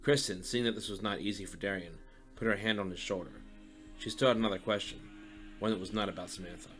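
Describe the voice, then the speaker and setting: Guy narrating a story, lowish voice, scripted, faint music underneath. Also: fairly even intonation, fast, fairly bright tone.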